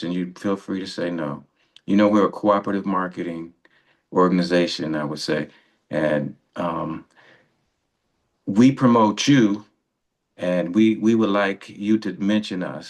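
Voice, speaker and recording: Low-voiced older man, chatting casually. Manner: rambling, self-interrupting. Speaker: radio show co-host. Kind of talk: casual conversational interview.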